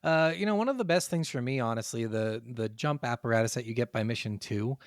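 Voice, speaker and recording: A man's voice: medium pitch, brisk, no room sound, clean studio sound. A man co-hosting a podcast, chatting casually about games in an emphatic way with plenty of swearing. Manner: profane, emphatic. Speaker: podcast co-host. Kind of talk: casual gaming review chat.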